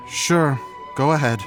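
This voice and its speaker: A man playing a dry, candid, resigned young adventurer in an anime video game. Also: fairly high pitch. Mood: reluctant, wry. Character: wry young male adventurer, resigned card player.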